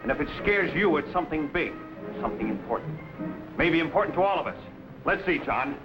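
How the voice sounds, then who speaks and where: A man's voice: fairly high pitch, lively intonation, brisk, close to an everyday voice. An actor playing a pushy frontier newspaperman in an old adventure drama, insinuating and threatening as he pries. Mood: probing. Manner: insinuating, menacing. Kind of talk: 1950s adventure drama acting. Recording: dull old broadcast, loud music.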